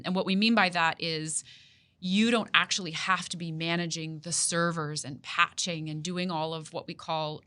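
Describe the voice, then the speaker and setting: A woman's voice: lowish voice, sharp tone. A woman guest on a podcast explaining her work as a country manager, upbeat and articulate. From not from New Zealand. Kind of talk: interview answers.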